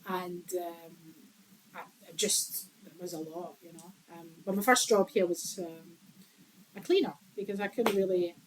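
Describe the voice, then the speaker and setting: A woman's voice: on the low side, fairly even intonation, normal speed, low-quality recording. Woman with chatter behind. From Italy. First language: Italian. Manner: chatty, laughs often, many fillers and restarts. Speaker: bakery founder, guest. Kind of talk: casual interview chat. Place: inside a busy bakery. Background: crowd chatter.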